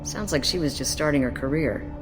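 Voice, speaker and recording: A woman's voice: low-pitched, brisk, music in the background, natural voice, poor audio. A woman voicing a brusque, wry alien police detective in a science fiction video game.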